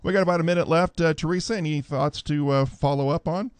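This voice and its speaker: A man's voice: on the high side, quick pace, smooth, no echo. A man co-hosting a radio show, chatting casually.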